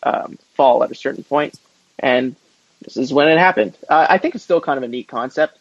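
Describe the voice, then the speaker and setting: A man's voice: mid-range voice, normal speed, gravelly, breathy edge, no echo. A man co-hosting a casual sports chat in an informal, hedging way, on a slightly muffled microphone. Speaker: podcast co-host.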